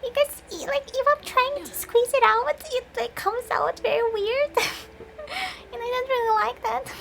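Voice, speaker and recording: A woman's voice: very high-pitched, steady tone, unhurried, gravelly, a bit bright, a little hiss or hum. A young woman streamer giving casual, chatty commentary while playing a game, laughing often.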